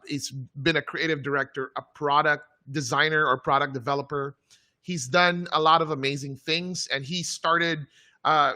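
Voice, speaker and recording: A man's voice: mid-range voice, pushed and bright. A man hosting a live online show, introducing a guest with enthusiasm and plenty of fillers. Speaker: host.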